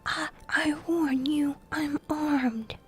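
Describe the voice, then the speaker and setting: A woman's voice: sits high, steady tone, unhurried, faint music behind. A woman voicing a frightened, blustering uncle in a storybook, stammering with indignation. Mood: scared, threatening.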